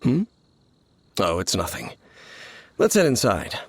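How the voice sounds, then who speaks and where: Brooding young wanderer for a fantasy game: low, very unhurried, solemn and cryptic, music underneath. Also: male voice, huge pitch swings, creaky, slightly rough, heavily acted. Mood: reserved, musing. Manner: measured, solemn, cryptic phrasing. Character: brooding, world-weary young male wanderer hunting the Abyss. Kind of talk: dramatic video game dialogue. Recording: studio voice over game music.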